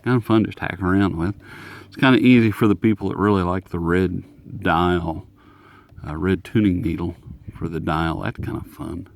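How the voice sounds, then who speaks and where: A man's voice: low voice, steady tone, moderate pace, gravelly, dry recording. A man chatting casually through a hands-on gadget review in a rambling, folksy, self-mocking way, as a hobbyist reviewer.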